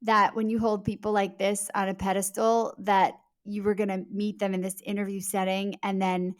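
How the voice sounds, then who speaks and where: Female author guest, medium pitch, conversational interview answers, earnest and emphatic with drawn-out key words. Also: level tone.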